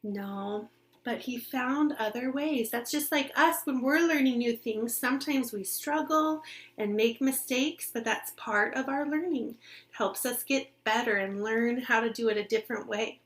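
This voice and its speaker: A woman with a fairly high voice reads a children's picture book aloud at a very unhurried pace. She sounds like a teacher, expressive in her reading and simple in her explanations, often turning to her young listeners with questions.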